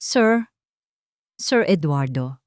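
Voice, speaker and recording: A woman's voice: low voice, lively intonation, very slow, no echo, clean studio sound, heavily acted. A woman storyteller voicing a frightened, loyal servant woman who pleads desperately in a trembling voice. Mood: nervous, breaking.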